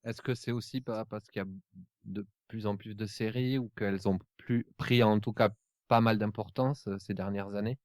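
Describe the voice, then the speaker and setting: Man, lower register, podcast interviewer, casual, hesitant phrasing, close dry sound. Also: steady tone, moderate pace, dry recording. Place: remote internet call recording.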